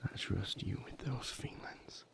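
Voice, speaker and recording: A man playing an intense, brooding vampire lover in an intimate audio roleplay, speaking in a gravelly, breathy near-whisper. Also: natural voice. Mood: tender, wound up. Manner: slow, intimate, emotionally intense.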